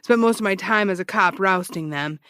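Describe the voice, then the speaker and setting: An actress playing a brash, dogged ex-cop turned private detective in an audio drama, with a wry, insistent, teasing way of talking. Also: female voice, mid-range voice, a little fast, a bit bright, clear, resonant tone, dry recording, clean studio sound, lightly acted. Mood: pressing. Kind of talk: naturalistic audio drama dialogue.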